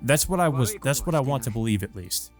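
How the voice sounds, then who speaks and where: A man's voice: mid-range voice, animated, a little fast, close to an everyday voice. A man voicing an earnest, apologetic teenage brother, the protagonist of a visual novel. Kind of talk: visual novel character read-aloud. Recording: music behind the voice. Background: music.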